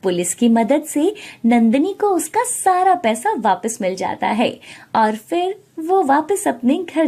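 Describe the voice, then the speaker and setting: A woman reading scripted narration for an animated story. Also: a little high. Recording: music bed behind the voice. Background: background music.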